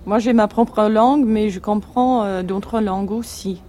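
A woman's voice: medium pitch, steady tone, unhurried, warm tone, a little hiss or hum. A woman interpreting an interviewee's answers into French, plainly and in the first person.

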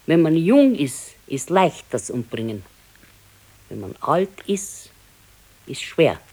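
Terse, stoic old Bavarian wife. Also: female voice, deep voice, takes it slowly, heavily acted. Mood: resigned, reflective. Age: older. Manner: terse, matter-of-fact, flatly contradicting. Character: stoic, matter-of-fact old wife, dryly scolding. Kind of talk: naturalistic radio play dialogue.

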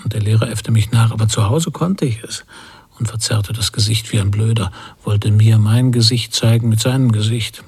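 An anxious, inward-looking emigrant in a literary radio drama. His voice is very husky and breathy with a gravelly creak, often close to whispering, and he speaks in halting, brooding fragments. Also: on the low side, darkish tone. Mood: ashamed, anxious. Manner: halting, introspective, short fragments. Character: anxious, brooding emigrant husband haunted by memories.

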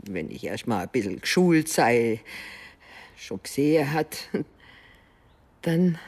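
An actress playing a secretive older mother in a radio drama, defensive and evasive, speaking haltingly. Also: low voice, husky, heavily acted. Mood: wistful, confiding. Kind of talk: naturalistic German radio drama acting.

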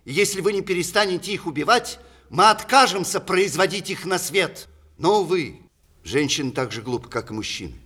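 Old witty playwright, opinionated, ironic, very animated pitch, old russian radio theatre style. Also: male voice, brisk, slightly rough, exaggerated voice. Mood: indignant, ironic. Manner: declamatory, rhetorical, ironic. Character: witty, opinionated ageing male playwright writing letters. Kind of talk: 1960s Russian theatre radio play acting.

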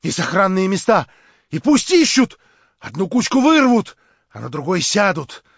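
A man's voice: fairly high pitch, expressive, moderate pace, slightly rough, dry recording. A man voicing a frantic young male conspirator who pleads breathlessly, half in a fever. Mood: feverish. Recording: dull lo-fi dry recording.

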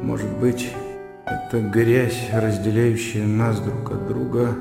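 Slow, creaky brooding gentleman. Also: fairly low pitch, flat delivery. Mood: brooding, solemn. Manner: slow, hushed, ponderous. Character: brooding educated gentleman, musing inwardly, solemn and tender. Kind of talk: radio drama acting. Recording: echoing inner-monologue reverb over music. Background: music.

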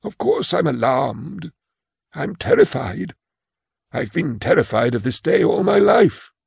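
A man's voice: a little high, wildly swooping pitch, measured pace, darkish tone, no echo, studio quality, full character voice. An actor playing a frail, elderly male clerk who is anxious and quavering, in an audio drama. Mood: fearful. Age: older. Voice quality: gravelly, husky.

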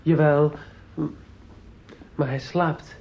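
A man's voice: fairly high pitch, flat delivery, drawn-out words, creaky, darkish tone, full character voice. A young actor playing an earnest, touchy teenage boy in a radio play, polite and sincere in his speech. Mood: polite, concerned. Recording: dull, noisy radio play recording.

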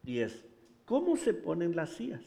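A man from Honduras preaching in Spanish with a Honduran accent, pausing for an interpreter and telling stories with animated humor. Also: a little high, vocal fry. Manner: animated storytelling, laughs at himself. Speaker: pastor. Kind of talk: bilingual sermon with interpreter.

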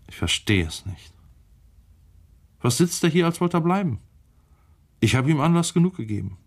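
A man's voice: fairly high pitch, very animated, normal speed. An actor playing a brooding, calculating man who muses to himself in terse, clipped phrases in a literary radio drama. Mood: irritated, resigned.

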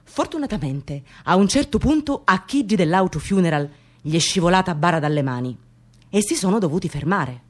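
An actress playing an excitable, gossipy immigrant woman with a Sicilian accent, telling a story in a theatrical radio monologue that mixes dialect with broken English. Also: lowish voice, brisk. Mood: amused, solemn.

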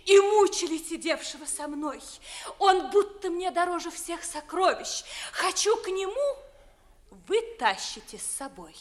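A woman's voice: very high-pitched, exaggerated voice. An actress playing a headstrong, dreamy young noblewoman in love, declaiming verse in 1950s theatrical radio style.

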